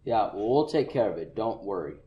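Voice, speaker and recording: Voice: medium pitch, lively intonation, a little fast, muffled recording, natural voice. A student actor playing a bored, dismissive police desk sergeant who talks in an offhand, condescending drawl, in an echoey room. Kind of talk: school radio play acting. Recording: echoey classroom recording.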